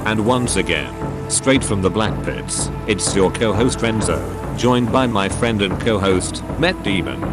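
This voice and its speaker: A man's voice: fairly low pitch, expressive, unhurried. A man playing an arena co-host who gives dramatic play-by-play commentary on fantasy fights like a showman. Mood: showmanlike. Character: excitable male arena commentator, a sportscaster co-host. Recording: loud music behind the commentary.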